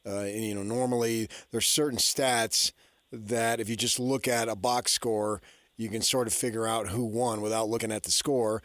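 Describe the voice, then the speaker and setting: A man's voice: fairly low pitch, steady tone, moderate pace, a bit bright, no room sound. A man hosting sports radio, talking informally and arguing his points in short conversational phrases.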